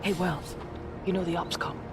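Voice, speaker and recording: Actress as a probing soldier, music behind. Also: female voice, fairly low pitch, little pitch movement, normal speed, natural voice. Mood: probing, low-key. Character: probing, perceptive female soldier questioning a comrade. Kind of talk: video game cutscene dialogue.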